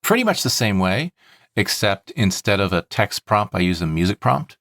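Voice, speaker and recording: Low-voiced man explaining on a podcast. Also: very animated, moderate pace, fairly bright tone, dry recording, studio quality. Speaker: podcast host. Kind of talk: casual explanatory podcast talk.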